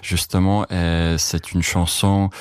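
Man with Czech accent, very deep voice, dry close sound, hesitant, searching for words, correspondent being interviewed. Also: little pitch movement, takes it slowly, a little mellow, clear, resonant tone, dry recording. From Czech Republic. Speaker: foreign correspondent. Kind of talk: interview answers.

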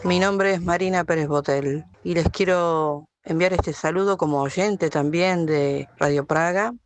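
A woman's voice: on the low side, unhurried, dry recording. An Argentine woman in her forties, a radio broadcaster, sending a long, earnest recorded greeting in flowing sentences. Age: 40s.

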